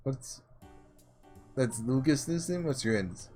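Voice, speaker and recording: A man's voice: mid-range voice, animated, takes it slowly, faint music behind. A man hosting a casual gaming playthrough, thinking aloud informally and reading visual novel text. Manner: informal, musing out loud. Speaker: gaming video host.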